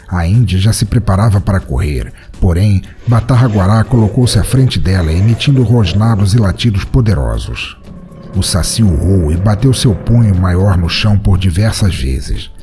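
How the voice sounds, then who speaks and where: Mellow, low Brazilian male storyteller. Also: lively intonation, normal speed, faint music behind. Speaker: narrator of a fiction podcast. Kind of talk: scripted dramatic storytelling narration.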